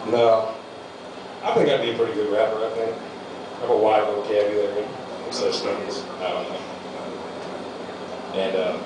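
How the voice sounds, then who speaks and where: A man's voice: lowish voice, background noise. A young man doing a loose, rambling stand-up comedy set at a small venue. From United States. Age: young adult. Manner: loose rambling delivery with fillers. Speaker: amateur stand-up comic. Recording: echoey room, mic far off.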